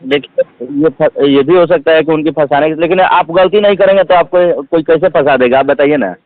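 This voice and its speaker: Male phone interviewee, fairly high voice, thin telephone sound, halting but emphatic delivery. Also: no echo. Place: phone call. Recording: tinny phone call recording.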